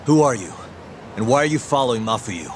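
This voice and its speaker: A man's voice: mid-range voice, expressive, a little fast, slight background noise, lightly acted. A man playing a laid-back, wry detective hero in a video game cutscene, talking casually and tersely. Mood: urgent.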